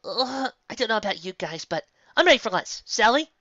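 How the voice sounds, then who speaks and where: Woman as an earnest, bright young unicorn. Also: fairly high pitch, animated, fairly quick, dry recording, highs cut off, pitched above the performer's own voice. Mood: friendly.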